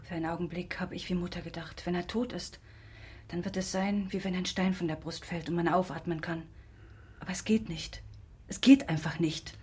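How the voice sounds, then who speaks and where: An actress playing an anguished, reproachful sister in a radio drama, with a husky, breathy voice and a strained, grave manner. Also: female voice, fairly low pitch, fairly even intonation, moderate pace, darkish tone.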